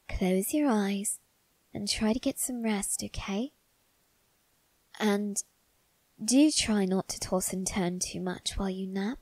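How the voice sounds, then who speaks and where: A woman voicing a doting, secretive young male vampire aristocrat in a soft, intimate ASMR roleplay, with refined, coaxing phrasing. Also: mid-range voice, no room sound, low-quality recording. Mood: tender, reassuring. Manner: coaxing, gentle, unhurried, refined phrasing with tag questions. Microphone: close, dry microphone.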